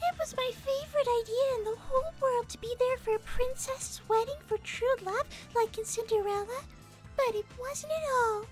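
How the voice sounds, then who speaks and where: A woman's voice: extremely high pitch, moderate pace. A woman voicing a sweet, polite, easily hurt little girl rabbit in an earnest, formal manner for an improvised cartoon livestream. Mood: hurt, wistful. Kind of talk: improvised character roleplay on a livestream. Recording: music playing behind.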